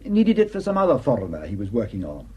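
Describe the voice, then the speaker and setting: An actor playing a probing, sardonic police superintendent who questions in a deliberate, insinuating way in a radio drama. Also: male voice, fairly low pitch, wildly swooping pitch, mellow, husky, full character voice. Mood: probing, insistent.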